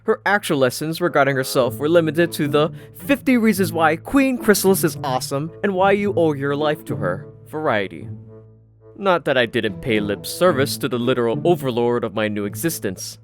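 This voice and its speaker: A man's voice: on the high side, lively intonation, normal speed, faint music behind. A man narrating a first-person story from a script in a dry, sardonic manner. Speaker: audiobook narrator.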